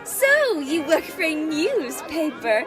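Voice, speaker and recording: A woman's voice: sits high, big pitch swings, slow, full character voice. A woman playing a flighty, self-absorbed young socialite in a radio play, speaking in a theatrical, affected gush. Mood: gushing, airy. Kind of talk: old-time radio play acting. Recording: party crowd and music behind.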